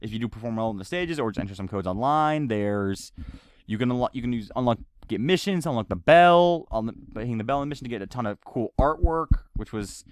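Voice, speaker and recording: A man's voice: medium pitch, clear, resonant tone, no room sound. A man giving an unscripted, ranting video game review, stammering and repeating himself as he rambles along.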